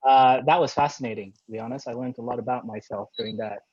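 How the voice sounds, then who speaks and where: A man's voice: mid-range voice, steady tone, fast talker, darkish tone, slightly rough, dry recording. A man answering interview questions over a video call, hesitant and reflective with many fillers. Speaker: interview guest. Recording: dry, dull video-call audio.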